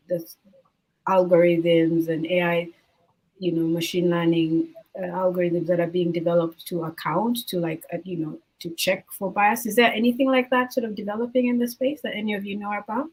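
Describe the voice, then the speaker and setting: A woman's voice: on the low side, breathy edge, poor audio. A woman moderating a panel discussion, asking loose, casual questions full of hedges and fillers.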